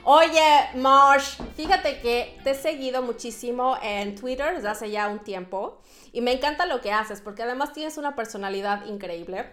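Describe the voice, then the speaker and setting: A woman's voice: fairly high pitch, normal speed, fairly bright tone, soft background music. A woman hosting an interview, chatty and upbeat. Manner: chatty, upbeat, many fillers. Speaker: podcast host. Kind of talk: casual interview.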